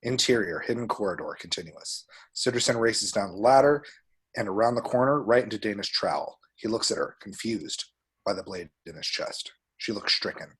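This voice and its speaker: A man with a husky voice reads screenplay action descriptions aloud as a narrator. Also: fairly low pitch, expressive, normal speed, a bit bright. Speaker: table-read action narrator.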